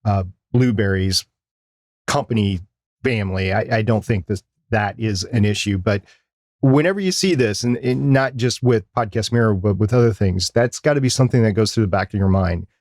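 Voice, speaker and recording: A man's voice: fairly low pitch, moderate pace, a little mellow, dry recording, clean studio sound. A man co-hosting a podcast in a casual, conversational discussion style. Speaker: podcast co-host.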